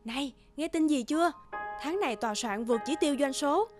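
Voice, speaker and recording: A woman's voice: sits high, animated, fairly quick. A woman voicing a chatty, teasing young office colleague in a cartoon. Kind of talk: cartoon dubbing. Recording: music under the voice.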